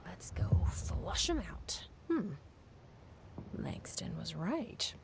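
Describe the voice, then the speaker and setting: An actress playing a determined young game heroine musing to herself in hushed inner thoughts. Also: female voice, medium pitch, very animated, drawn-out words, creaky, in a whisper, exaggerated voice. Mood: quietly resolved.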